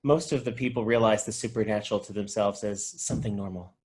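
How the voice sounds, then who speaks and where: A man's voice: on the low side, steady tone, fast talker, darkish tone, close to an everyday voice. Goofy, chatty male video store clerk, acted. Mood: matter-of-fact. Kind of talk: table read of a comedy TV pilot.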